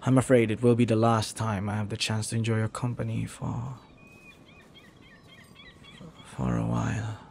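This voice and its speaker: A man playing a flirty, lovelorn pirate captain in a romantic audio roleplay, speaking softly and earnestly with hesitant, lingering pauses. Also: fairly low pitch, animated, vocal fry, a little hiss or hum. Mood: tender, pleading.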